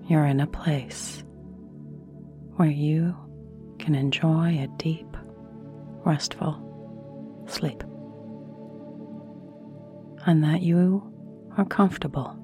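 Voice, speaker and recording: A woman narrating a guided sleep meditation, soothing, with long pauses and phrases that trail into near-whispers. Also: low-pitched.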